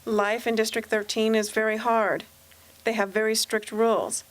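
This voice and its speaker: A woman acts as the narrator of an amateur radio play. Her voice is fairly high and she reads scripted story recaps. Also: little pitch movement, moderate pace, close to an everyday voice. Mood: explanatory.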